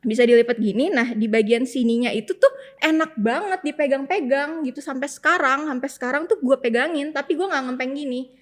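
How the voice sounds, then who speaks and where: Young woman podcast cohost, kinda high voice, lively chatty stories, laughs a lot. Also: a little fast. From Indonesia. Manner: animated storytelling, laughs often. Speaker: podcast co-host. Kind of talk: casual chat. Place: podcast studio.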